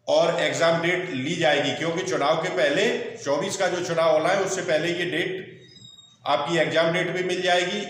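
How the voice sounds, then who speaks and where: A man's voice: fairly high pitch, a bit bright. A man teaching an online exam coaching lesson point by point, instructive and reassuring, mixing in English terms. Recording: very echoey room, distant mic.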